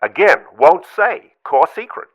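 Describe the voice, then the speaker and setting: Man reading as a clipped, guarded admiral, lively delivery. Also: on the high side, measured pace, no echo, low-quality recording. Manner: clipped, telegraphic phrasing. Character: guarded senior admiral recounting secrets in clipped phrases. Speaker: co-host reading a part. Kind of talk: dramatic cold reading of a memo transcript.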